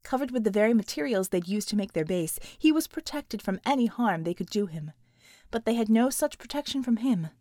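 A woman's voice: medium pitch, animated, brisk, mellow, dry recording, clean studio sound. A woman reading dramatic action fiction as an audiobook narrator, in a scripted storytelling style.

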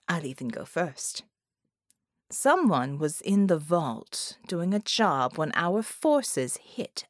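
A woman's voice: low-pitched, dry recording, studio quality, heavily acted. A woman voicing a sly, taunting shapeshifter villain in an audiobook, with an insinuating delivery.

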